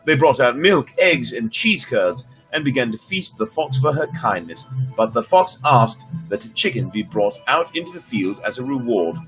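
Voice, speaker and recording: Man telling stories with lively, swooping delivery. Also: mid-range voice, normal speed, rough recording. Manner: theatrical, playful asides to viewers. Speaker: storyteller streamer. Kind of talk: casual livestream storytelling, dramatic read-aloud. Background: background music.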